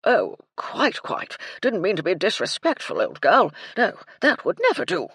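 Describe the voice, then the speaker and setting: Woman putting on a tipsy old colonel for an audiobook: loud, clipped, swooping, husky. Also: fairly low pitch, huge pitch swings, a little slow, no echo, clean studio sound, pitched above the performer's own voice, full character voice. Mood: blustering. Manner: bluff, clipped, hearty old-fashioned slang. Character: blustering old male English colonel, tipsy. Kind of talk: audiobook character voice.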